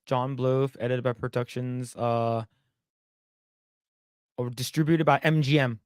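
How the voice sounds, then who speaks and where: A man's voice: medium pitch, level tone, a little slow, clear, resonant tone, no echo, clean studio sound. A young man co-hosting a podcast, chatting in a rambling, blunt way with casual swearing. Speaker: podcast co-host. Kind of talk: casual chat.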